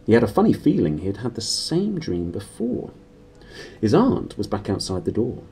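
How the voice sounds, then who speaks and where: A British man in his thirties reading a storybook aloud briskly and expressively to a camera in a small room. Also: fairly low pitch, wildly swooping pitch, warm tone, husky. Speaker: reader. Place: small decorated room indoors.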